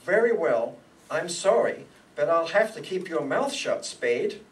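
A man's voice: a little high, big pitch swings, unhurried. An older man playing an anxious, scheming married businessman in a radio play, earnest and pleading. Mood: threatening. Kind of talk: old-time radio acting before an audience. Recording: live stage pickup, distant, background noise.

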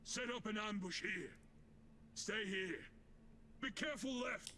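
A man's voice: sits high, slow pace, bright, forceful tone, slight background noise, full character voice. A man voicing a video game character who calls out short battle warnings. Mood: alert.

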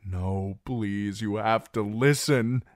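A man's voice: on the low side, takes it slowly, dry recording, studio quality, pitched above the performer's own voice. A man voicing a broken, terrified young male captive who carries a message and pleads urgently with a hostile crowd. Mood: pleading.

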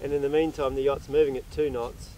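A young man hosting a casual sailing interview outdoors by the sea, relaxed and chatty, asking questions. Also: medium pitch, brisk, a little hiss or hum, low-quality recording. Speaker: sailing vlog host.

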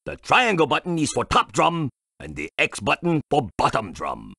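A man voicing a friendly, excitable tiki statue in a cartoon video game, husky, speaking broken English with chanted exclamations. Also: mid-range voice, moderate pace, no echo, studio quality, heavily acted. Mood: instructing. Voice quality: husky, gravelly.

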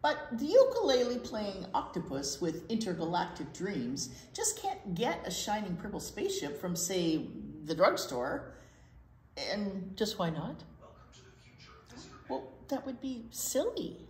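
An American woman in her sixties reads a picture book aloud as a children's storyteller, expressive and explaining things simply. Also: mid-range voice, a little slow. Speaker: children's storytime reader at a library. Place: library children's room, indoors. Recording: roomy, echoey indoor sound.

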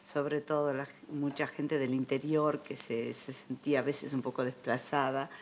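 A woman's voice: extremely low pitch, level tone, normal speed, a little hiss or hum. Older Argentine female guest, conversational, recalling memories in a television studio. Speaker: interview guest. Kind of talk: conversational reminiscing.

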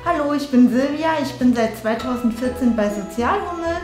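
Woman, very high pitch, echoey room, music behind, interview introduction. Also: expressive, moderate pace. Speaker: back-office billing worker. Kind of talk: interview self-introduction for a promotional film.

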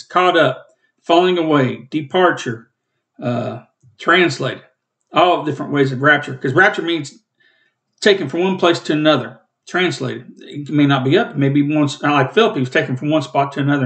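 A man in his late fifties with a rough, coughing throat, giving a rambling, plainspoken Bible study from home over a webcam. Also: on the high side, a bit bright. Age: 50s. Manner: rambling, plainspoken, self-corrects. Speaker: Bible teacher and channel host. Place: small room at home, indoors.

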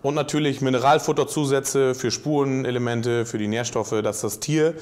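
A man's voice: medium pitch, fairly even intonation, moderate pace, a little mellow. A man gives an explanatory voice-over. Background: faint music.

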